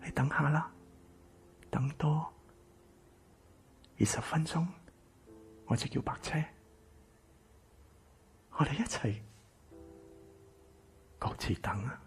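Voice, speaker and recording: A man acting a bitter, exploited grown son in a radio drama, with a Hong Kong Cantonese accent and an emotional, breathy delivery. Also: fairly high pitch, slow pace, long pauses. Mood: mocking, menacing. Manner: emotional, stammering, swinging from shouts to whispers. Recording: music behind the voice.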